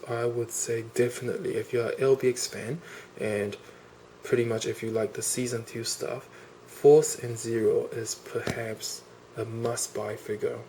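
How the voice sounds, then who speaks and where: Guy with a cold gushing over a toy, mid pitch, bit of faint hiss behind. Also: a little mellow, low-quality recording. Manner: enthusiastic, gushing, repeats "awesome" and "really". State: has a cold. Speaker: toy reviewer. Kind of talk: casual unboxing review.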